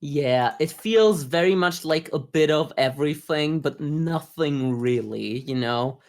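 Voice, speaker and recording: A man co-hosting a podcast, giving a casual review in a measured, analytical, conversational way. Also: fairly high pitch, a little mellow. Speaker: podcast co-host. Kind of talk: casual anime review discussion.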